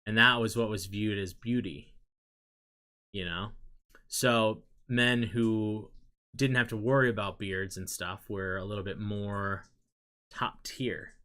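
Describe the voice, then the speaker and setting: A man's voice: lowish voice, steady tone, slow. Rambling young man, casual chat. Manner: loose, chatty, trailing off into quiet asides. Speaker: streamer chatting with viewers.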